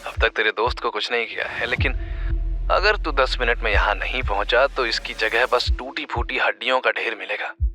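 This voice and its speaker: A man voicing a mocking young gang thug who makes quiet, low threats in an audio drama. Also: normal speed, faint music behind, lightly acted. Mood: menacing.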